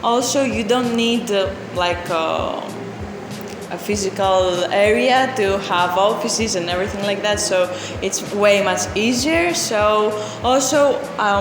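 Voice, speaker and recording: A woman in her twenties, introducing herself in an interview and pausing often with fillers. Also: mid-range voice. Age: 20s. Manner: hesitant, many fillers. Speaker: co-founder of a non-profit. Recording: echoey hall recording. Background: background music.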